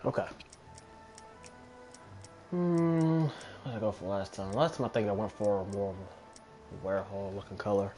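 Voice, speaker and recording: A man's voice: lowish voice, unhurried, long pauses, warm tone. A man giving casual gameplay commentary, muttering offhand remarks to himself and swearing freely. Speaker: gamer commentating own playthrough. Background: video game music and sounds.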